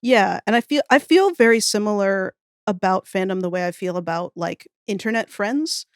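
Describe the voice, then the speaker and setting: A woman speaks at a middle pitch with very little room sound. She is a guest in a casual chat, wry and talkative, working out her thoughts as she goes. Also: normal speed, clean tone, no echo, studio quality. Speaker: podcast guest, audio fiction writer.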